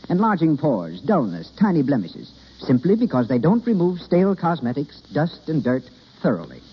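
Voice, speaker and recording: An American man announcing and pitching products in the polished style of old-time radio. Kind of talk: 1930s-40s radio announcing and sales pitch. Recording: muffled, rough vintage radio broadcast.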